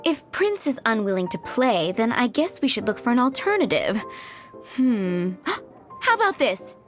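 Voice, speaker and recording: A woman's voice: on the high side, big pitch swings, a little slow, soft background music. A woman voicing a cheerful, breezy adult tavern owner who bosses her staff around, in a game. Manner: breezy, upbeat, firm.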